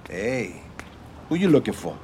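A man's voice: lowish voice, very animated, measured pace, dark tone, a little hiss or hum. Swooping, taunting male street dealer. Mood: suspicious. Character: hostile, leering male street-corner dealer.

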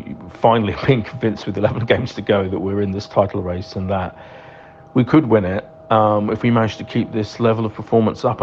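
A man giving a casual fan's match reaction in hesitant, pause-filled phrases, on a dull lo-fi recording. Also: on the low side, darkish tone. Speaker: fan contributor. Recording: dull lo-fi audio, clear humming noise.

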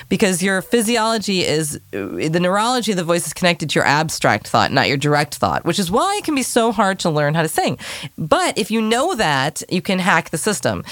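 A woman vocal coach with a low voice, chatting through viewer questions on singing and demonstrating now and then. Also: sing-song, normal speed, a bit bright, no room sound. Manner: chatty, demonstrative, sings sample phrases.

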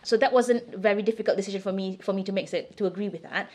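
A woman's voice: mid-range voice, rapid-fire, a little mellow. A middle-aged woman from Singapore answering interview questions in a candid, emphatic, conversational way. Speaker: interview guest.

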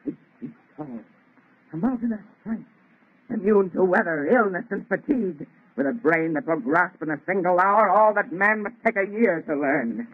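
Arrogant mad scientist, husky grandiose declaiming, wide melodramatic swings, tinny old radio sound. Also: male voice, sits high, lively intonation, moderate pace. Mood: exultant, grandiose. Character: arrogant, feverishly obsessed scientist creator of a monster. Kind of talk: 1930s radio melodrama acting. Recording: tinny, band-limited old radio recording.

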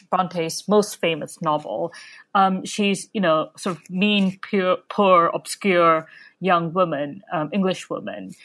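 A woman from Malaysia, an author, casually recommending a book with many ums and restarts. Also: mid-range voice.

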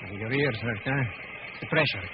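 A man's voice: mid-range voice, normal speed, close to an everyday voice. An actor playing a matter-of-fact working man guiding visitors in an old-time radio drama, plain and terse. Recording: very noisy, muffled vintage radio recording.

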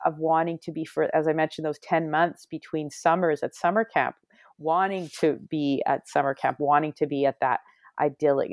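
Middle-aged woman author, reflective, halting interview answers, dry close mic. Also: deep voice, a little mellow, no room sound. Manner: reflective, many restarts and hedged pauses.

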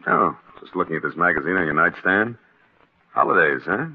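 Uneasy scheming man, low voice, old radio. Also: expressive, brisk, slightly rough, dry recording, exaggerated voice. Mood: uneasy pleading. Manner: hesitant, uneasy, evasive. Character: nervous, scheming husband plotting a poisoning. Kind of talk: 1940s radio acting. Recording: tinny, clicky old radio recording.

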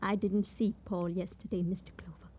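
An actress playing a guarded, plaintive eighteen-year-old working-class girl in a 1950s radio crime drama, speaking in simple, halting phrases. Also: mid-range voice, little pitch movement, warm tone, husky, close to an everyday voice. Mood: plaintive, sad.

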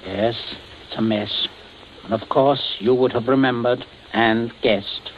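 Actor as cold commanding gentleman, old muffled radio. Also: medium pitch, fairly even intonation, lightly acted. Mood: cold, grave. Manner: measured, deliberate, formal phrasing. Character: cold, commanding, calculating gentleman blackmailing with menace. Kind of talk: 1940s radio suspense acting. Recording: muffled, noisy 1940s radio recording.

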